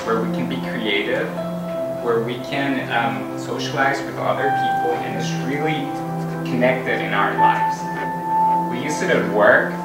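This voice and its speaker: A middle-aged man from Costa Rica giving an earnest conference talk, with frequent fillers. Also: medium pitch. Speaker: conference speaker. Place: large conference hall. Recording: echoey hall from far off, music.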